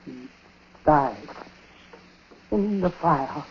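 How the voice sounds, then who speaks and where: Dying old uncle, bitter and superstitious, very slow grave delivery in broken phrases, vintage radio drama, muffled sound. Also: male voice, on the high side, expressive. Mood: weary confession. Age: older. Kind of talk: 1940s radio acting. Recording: noisy, muffled 1940s radio recording.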